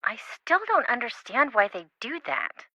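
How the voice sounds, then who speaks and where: An actress playing a frank, chatty young friend with a casual, blunt manner in an adventure game. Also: female voice, a little high, very animated, a little slow, bright, forceful tone, dry recording, full character voice, poor audio. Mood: puzzled. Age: young adult. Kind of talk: scripted adventure game dialogue.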